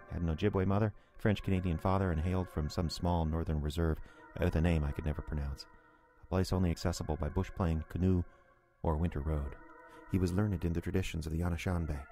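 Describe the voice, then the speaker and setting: A low-voiced narrator with music behind him. He tells an eerie audio drama in the first person, reflective and atmospheric. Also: steady tone, fairly quick, warm tone. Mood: reflective, fond. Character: reflective male storyteller, repairman recalling eerie past.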